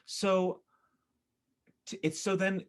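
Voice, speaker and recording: A man's voice: sits high, little pitch movement, takes it slowly, clear, resonant tone. American man chatting animatedly on a podcast. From upstate New York, United States. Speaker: podcast guest. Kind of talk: casual podcast conversation.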